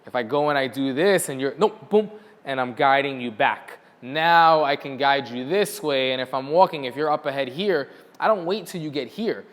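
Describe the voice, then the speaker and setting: A man explaining dog training in a casual question-and-answer session, repeating words like "very, very" for emphasis. His voice sits at an ordinary middle pitch. It rings with the long reverb of a large room. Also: normal speed, hardly any pauses. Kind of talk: casual instructional Q&A.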